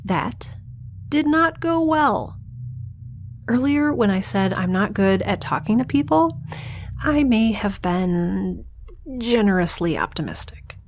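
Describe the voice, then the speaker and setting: A woman playing a stranded young scientist in an audio drama, giving wry, anxious, self-deprecating log entries in a halting, confessional way. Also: medium pitch, lively intonation, a little hiss or hum. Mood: wry, deflated. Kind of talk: audio drama monologue, recorded log entry.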